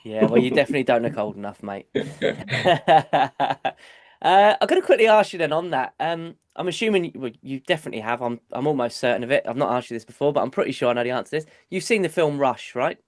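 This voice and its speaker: A man's voice: medium pitch, expressive, fast talker, no room sound, studio quality. A man hosting a podcast in casual chat, congested from a cold, chatty and repeating himself. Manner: chatty, repeats words, says mate often. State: congested, stuffy-nosed. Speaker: podcast host.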